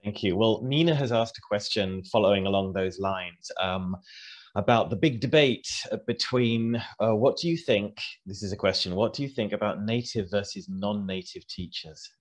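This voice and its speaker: A man's voice: lowish voice, normal speed. A man hosting a casual interview, relaying questions from the audience. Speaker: interview host.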